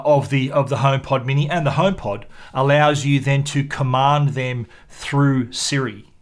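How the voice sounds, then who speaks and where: Male tech reviewer, mid-pitched voice, measured and explanatory presenter delivery. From Australia. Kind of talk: product review narration to camera.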